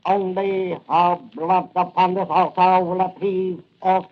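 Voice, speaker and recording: High-voiced, slow, menacing cult priest. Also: male voice, little pitch movement. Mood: menacing, solemn. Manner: solemn, chanting pronouncements in broken English. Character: menacing fanatical masked cult priest, male villain. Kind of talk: old-time radio melodrama acting. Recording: muffled vintage radio recording.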